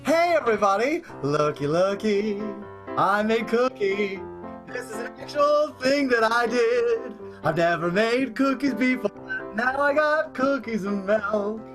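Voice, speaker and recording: Guy doing a goofy proud rich showman, slow singsong delivery, music behind, comedy radio play. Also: very high voice, animated. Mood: gleefully proud. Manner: singsong, simple-minded, boastful. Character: childishly proud, cheery millionaire vaudeville impresario. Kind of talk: improvised comic radio soap acting.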